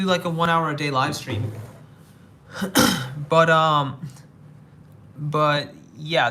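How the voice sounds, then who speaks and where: Young American streamer, bright and slightly high voice, loose chatty replies to chat with restarts. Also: male voice. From United States. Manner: reads viewer comments aloud and replies, with halting restarts. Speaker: livestreamer reading chat. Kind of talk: casual livestream chat. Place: living somewhere in Asia.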